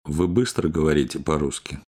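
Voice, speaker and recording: A speaker reading scripted language-course drill lines slowly and clearly. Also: a bass voice, steady tone, darkish tone. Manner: slow, clear, carefully articulated.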